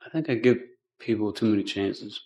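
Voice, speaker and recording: An American man speaking as a defendant in court, explaining himself informally and haltingly, leaning on "like" and "you know". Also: fairly low pitch, a little mellow.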